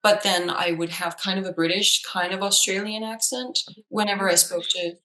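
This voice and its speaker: A woman's voice: medium pitch, fairly even intonation, moderate pace, sharp tone, low-quality recording. A woman whose first language is Danish, a psychologist in casual conversation, chatty with many fillers and restarts. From Denmark. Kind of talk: casual chat.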